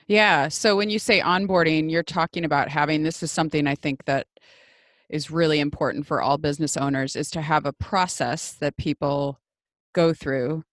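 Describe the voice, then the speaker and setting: A woman with a Midwestern American accent, chatting casually as she interviews a guest as host, talkative and quick to laugh. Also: low voice, fairly even intonation, no echo, clean studio sound.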